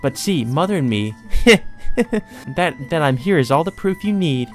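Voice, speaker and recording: Man putting on a high, taunting, lovesick deranged sister. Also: animated, soft background music, lightly acted. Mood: gleeful, cruel. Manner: taunting, theatrical, drawn-out coaxing. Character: deranged teenage sister, mocking, lovesick, sweetly cruel. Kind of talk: acted reading of a visual novel game.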